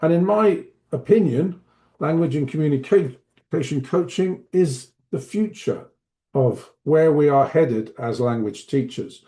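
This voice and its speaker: A man, presenting an online teacher-training webinar in a relaxed, chatty way with a slight cough. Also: a little high, unhurried. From Manchester, north of England. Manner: relaxed, chatty, self-deprecating asides. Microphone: video call.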